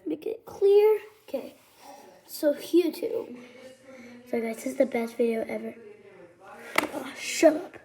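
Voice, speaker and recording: A child with a high voice talking casually and ramblingly to viewers as a vlogger. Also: animated, slow pace, pauses often, mellow.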